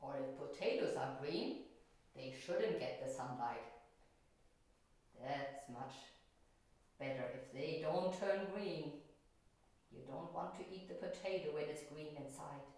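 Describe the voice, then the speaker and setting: Older female art teacher, gentle and chatty, recorded from across a very echoey classroom. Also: deep voice, unhurried, long pauses. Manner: gentle, chatty, talking to herself while painting. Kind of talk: painting demonstration for children. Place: classroom in front of a chalkboard. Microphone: far camera. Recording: echoey room from far off.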